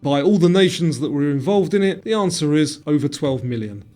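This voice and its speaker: A man's voice: fairly high pitch, expressive, a little fast, a little mellow. A man reading scripted quiz narration, posing multiple-choice questions and then giving the answers. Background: guitar music.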